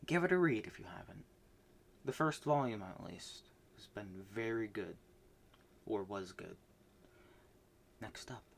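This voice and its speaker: A man casually recapping the manga he read this month, rambling with many fillers and restarts, swinging between loud bursts and soft mumbles. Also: fairly low pitch, unhurried, gravelly, long pauses. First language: not Japanese. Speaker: manga reviewer.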